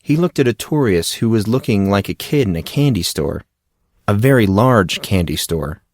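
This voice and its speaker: Man, audiobook narrator, lowish voice, dry close sound. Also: no echo. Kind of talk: audiobook reading.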